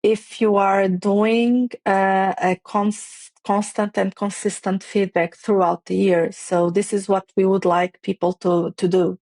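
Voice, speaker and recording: Woman, English, hesitant, restarts, mid pitch, dry sound. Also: flat delivery, unhurried, few pauses, darkish tone, no echo. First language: Portuguese. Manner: hesitant, many restarts and fillers, non-native phrasing. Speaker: guest, playwright and facilitator. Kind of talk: conversational interview.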